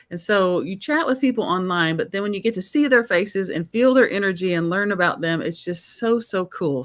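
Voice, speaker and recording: A woman hosting a conversation show, upbeat and encouraging as she welcomes her guest. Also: lowish voice. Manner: warm, enthusiastic, encouraging. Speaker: host. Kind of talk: video chat show hosting.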